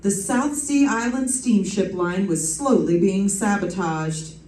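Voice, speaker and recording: A woman playing a wisecracking, brisk lady private detective in an old-time radio drama, cool under fire. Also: medium pitch, a little mellow, lightly acted. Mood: wry. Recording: roomy stage recording, distant mic.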